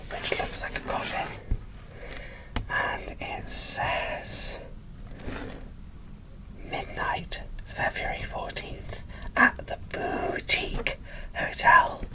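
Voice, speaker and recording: A woman from the UK whispering softly and breathily as she hosts an ASMR unboxing, in an unhurried way. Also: distant mic, low-quality recording. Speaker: host of an ASMR doll unboxing channel.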